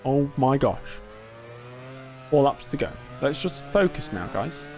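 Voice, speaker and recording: Give me a chatty man in his twenties, mid-pitched, commentating his own race live with faint music underneath. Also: expressive, slow, a little mellow. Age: 20s. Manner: chatty, reads and answers chat, self-talk under pressure. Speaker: live-streaming gamer. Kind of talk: casual live-stream commentary while racing.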